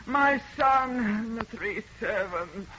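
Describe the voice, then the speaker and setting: Shrill, pleading terrified mother. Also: lively intonation, takes it slowly, a bit bright. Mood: anguished. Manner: moaning, pleading, melodramatic. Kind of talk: old-time radio horror acting. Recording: muffled old radio recording, faint noise.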